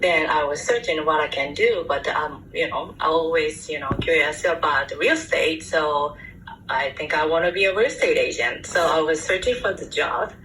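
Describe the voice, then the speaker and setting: A low-pitched woman in her forties speaks English with a Japanese accent, pausing often to find her words. She sounds far from the mic in an echoey room. A faint hum runs underneath, like a remote call. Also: fairly bright tone. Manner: halting, searching for words. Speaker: guest real estate agent. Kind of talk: interview answers. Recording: distant echoey remote line with faint noise.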